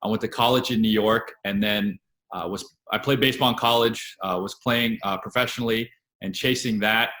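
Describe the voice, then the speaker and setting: A man in his late twenties, a guest actor, telling his story in a relaxed, steady way with a few fillers. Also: fairly low pitch, moderate pace, reverberant. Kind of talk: casual interview chat.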